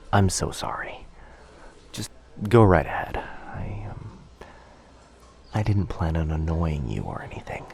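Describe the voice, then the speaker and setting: Man playing a shy, hesitant guy, low and slow. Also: a little mellow. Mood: nervous, apologetic. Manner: hesitant, apologetic, halting, often dropping to a breathy near-whisper. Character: very shy, gentle young man, a coffee shop stranger with a crush. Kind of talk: boyfriend ASMR roleplay, soft intimate acting.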